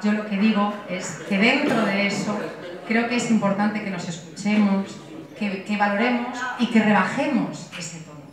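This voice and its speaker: A middle-aged woman from Galicia speaking earnestly on a discussion panel, measured and full of restarts. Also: medium pitch, a crowd in the background. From Galicia, Spain. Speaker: panel speaker, opposition spokesperson. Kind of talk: panel debate remarks. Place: conference hall. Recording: echoey hall, distant mic.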